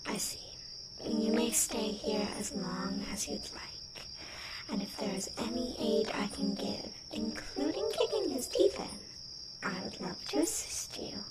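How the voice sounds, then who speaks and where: A woman playing a small, feisty and loyal tree nymph in a fantasy ASMR roleplay, with a light, soft, breathy voice and measured formal phrasing. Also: fairly high pitch, animated. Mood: earnest, feisty. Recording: distant, echoing, noisy nature-ambience track.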